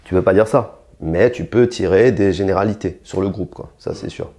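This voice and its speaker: A man's voice: moderate pace, dark tone. A young French man answering interview questions bluntly and provocatively, with many restarts and fillers. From France. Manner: rises in pitch and force when heated. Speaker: interviewed rapper.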